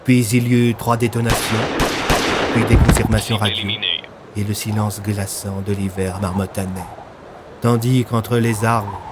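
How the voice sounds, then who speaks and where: A man's voice: lowish voice, level tone, measured pace, heavy background noise. A man narrating a scripted dramatic story in a grave, theatrical way. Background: music.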